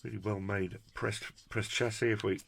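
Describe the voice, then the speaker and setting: A man's voice: on the low side, steady tone, normal speed, creaky, fairly bright tone, slight background noise. A British man presenting a casual hands-on product review in a chatty, jokey, effusive way with quaint turns of phrase. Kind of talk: casual hands-on unboxing commentary.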